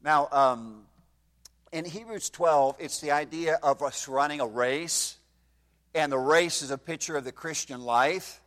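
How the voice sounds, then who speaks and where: A man's voice: a little high, measured pace, a bit bright. A man in his fifties preaching a sermon in a church, reading scripture in old-style wording and putting questions to the congregation. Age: 50s. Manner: reads scripture in King James wording. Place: church hall, slightly echoey. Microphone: microphone.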